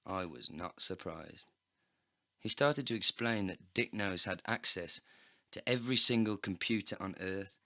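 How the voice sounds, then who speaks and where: A man's voice: sits low, measured pace, bright, forceful tone, no echo, clean studio sound. A man delivering scripted first-person narration for a comedy story, speaking in character as the narrator.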